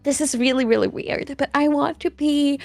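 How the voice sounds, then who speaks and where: A woman's voice: high voice, fairly even intonation, normal speed, vocal fry, a little mellow, no room sound. A young woman from Sweden with a Swedish accent tinged with British and Australian sounds, chatting casually and giggling often as an interview guest. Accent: Swedish with British and Australian traces. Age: young adult. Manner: chatty, giggly, many fillers and restarts. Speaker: VTuber guest.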